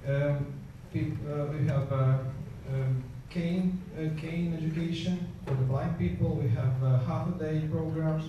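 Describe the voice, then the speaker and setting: A man with a Croatian accent presents to a conference in English, his second language. His delivery is hesitant, full of fillers and restarts, in a somewhat high voice. He sounds far from the microphone in a very echoey hall, with steady background noise. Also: muffled recording. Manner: halting, many fillers and restarts.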